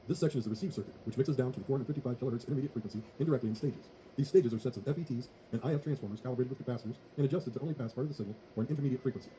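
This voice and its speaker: A man's voice: medium pitch, warm tone, slight background noise, low-quality recording. A man narrating a scripted technical tutorial in a formal, methodical way. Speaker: tutorial presenter.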